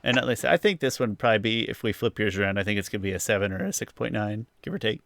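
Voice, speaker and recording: A man's voice: fairly low pitch, rapid-fire, creaky, fairly bright tone, dry recording. A man hosting a podcast, chatting casually through a review in a rambling, hedging way. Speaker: podcast host.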